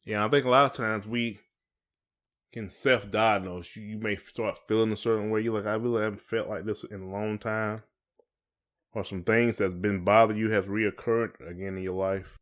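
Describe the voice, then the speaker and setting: Male podcast host, American, lower-pitched voice, informal conversational delivery, colloquial phrasing. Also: fairly even intonation, normal speed, fairly bright tone. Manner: colloquial, often checks in with "you know". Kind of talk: casual conversational podcast talk.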